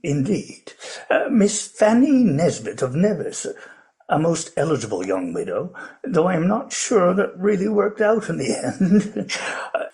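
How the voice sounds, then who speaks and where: An actor playing an elderly, genteel plantation owner in a radio play, pompous and courteous, rambling with many hesitations. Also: male voice, sits high, huge pitch swings, measured pace, creaky, darkish tone, full character voice. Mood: rambling, proud. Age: older.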